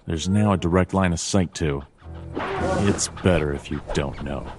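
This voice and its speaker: An actor playing a dry, weary bird detective, narrating a noir adventure game in terse deadpan. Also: male voice, sits low, unhurried. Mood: dry, observing. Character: brooding anthropomorphic bird detective, adult male, dry and weary. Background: jazzy background music.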